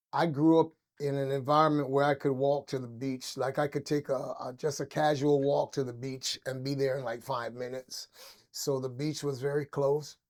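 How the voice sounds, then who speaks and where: A man's voice: mid-range voice, darkish tone. An older man from Barbados, an interview guest telling stories in a loose, rambling way with many fillers. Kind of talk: casual interview storytelling.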